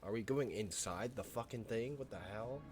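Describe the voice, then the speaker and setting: A man's voice: medium pitch, normal speed, music in the background. A man giving casual, blunt, joking commentary as he plays a story game. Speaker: game streamer reacting to a story.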